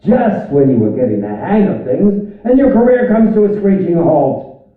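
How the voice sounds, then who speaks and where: An actor playing a flamboyant, petulant, sadistic film director villain in a video game, taunting theatrically in a drawn-out delivery. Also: male voice, high voice, very animated, roomy sound, in a large hall, narrow, tinny audio. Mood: mocking.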